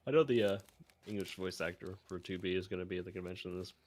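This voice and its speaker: A man's voice: sits low, quick pace, a little mellow. A man chatting casually on a livestream.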